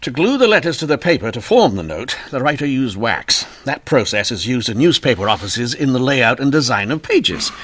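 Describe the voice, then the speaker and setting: An actor playing a gruff, impatient police inspector in an old-time radio mystery, blustering and commanding. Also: male voice, mid-range voice, very animated, moderate pace, few pauses, fairly bright tone, slight background noise. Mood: insistent.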